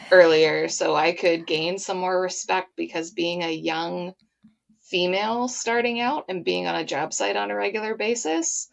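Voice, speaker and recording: A woman's voice: on the low side, vocal fry. A woman hosting an interview, talking in a reflective, conversational way. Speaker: podcast host.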